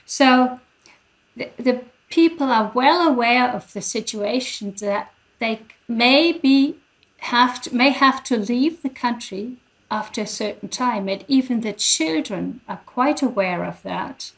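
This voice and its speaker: Older german academic lady on a headset, talks really slowly and carefully, voice a bit high. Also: fairly bright tone, poor audio. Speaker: guest professor. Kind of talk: explanatory interview answers. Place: indoors on a video call.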